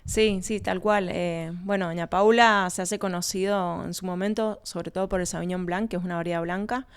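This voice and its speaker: Clear-voiced Argentine woman in an interview. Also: on the low side, steady tone, moderate pace. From Argentina, born in Colombia. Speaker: winery research and communication manager, interview guest. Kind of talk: conversational interview answers.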